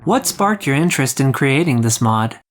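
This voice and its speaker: A man's voice: medium pitch, big pitch swings, moderate pace, faint music behind. A man reading out scripted interview questions as a podcast host.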